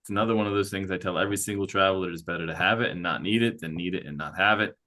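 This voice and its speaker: A man moderating a travel webinar, briskly prompting the others with questions. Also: low voice, sharp tone.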